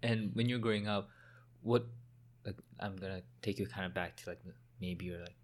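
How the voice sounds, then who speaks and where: Male podcast host, lowish voice, laid-back questions, casual interview. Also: steady tone, moderate pace.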